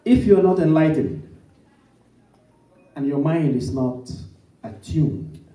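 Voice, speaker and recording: A man's voice: a little high, big pitch swings, takes it slowly, dark tone. A man working as master of ceremonies, making announcements to an audience with swooping emphasis. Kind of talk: event hosting and announcements. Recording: echoey hall, mic from a distance.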